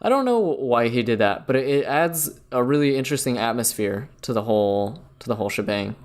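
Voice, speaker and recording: A man's voice: medium pitch, a little fast. A man co-hosting a podcast, eagerly retelling a film scene by scene in casual chat. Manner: animated retelling, many "like" fillers. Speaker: podcast co-host.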